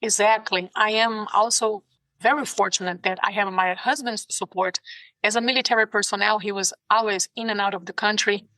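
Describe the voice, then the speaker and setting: Bright Brazilian-accented woman, earnest. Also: medium pitch, steady tone, moderate pace, no echo, rough recording. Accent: Brazilian Portuguese. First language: Portuguese. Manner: earnest and heartfelt. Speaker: guest, ICU nurse. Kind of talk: podcast interview.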